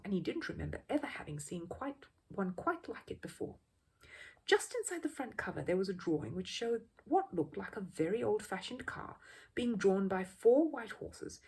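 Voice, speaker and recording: A woman reading a children's story aloud as an expressive narrator. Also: medium pitch, vocal fry, husky. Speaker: audiobook reader.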